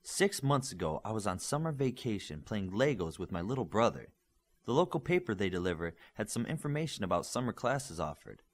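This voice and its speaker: Eager boy hero-in-training for an audiobook read by a man; earnest, excitable, animated intonation. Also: lowish voice, a little fast. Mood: reflective.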